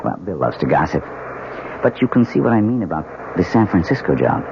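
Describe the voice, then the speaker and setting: A man's voice: deep voice, big pitch swings, fairly quick, creaky, full character voice. An actor playing a reassuring businessman husband in a radio drama, easygoing and placating. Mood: apologetic, placating. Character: smooth-talking, reassuring new husband, older businessman. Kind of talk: 1970s radio drama acting. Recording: dull, noisy old radio broadcast.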